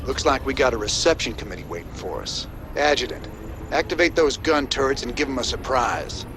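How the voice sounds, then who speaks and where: A man playing a gruff, wry rebel commander in a video game, drawling and cracking dry jokes. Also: mid-range voice, animated, brisk, close to an everyday voice. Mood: commanding. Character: gruff male space marshal, wry and laid-back. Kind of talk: video game cutscene and mission dialogue. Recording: game audio over loud music.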